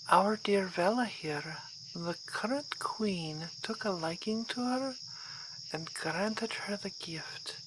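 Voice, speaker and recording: A woman's voice: mid-range voice, lightly acted. A woman playing a poised, amused vampire baroness in an ASMR roleplay, speaking in formal, theatrical phrases. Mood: indulgent. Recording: outdoor ambience with clear insect noise.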